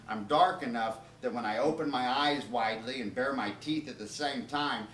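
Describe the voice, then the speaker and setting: A man's voice: mid-range voice, a bit bright. Man reading aloud, distant and roomy. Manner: informal asides to the listeners. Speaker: author reading from his own book. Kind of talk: comedic storytelling, reading aloud to an audience. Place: live reading at a bookstore. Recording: roomy, distant, noisy live event recording.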